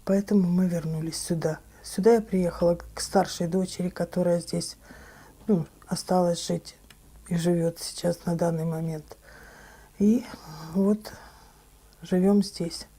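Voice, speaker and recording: An older woman from Ukraine, recalling her life in a documentary interview, plain and unhurried with small hesitations. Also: fairly low pitch, steady tone, dark tone, husky.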